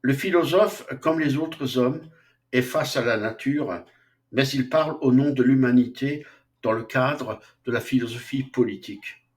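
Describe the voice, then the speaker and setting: A man lecturing on philosophy at an unhurried, deliberate pace. He talks off the cuff, stopping often for fillers and restarting his sentences. His voice sits at an ordinary middle pitch. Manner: slow, hesitant, frequent fillers and restarts. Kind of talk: unscripted lecture.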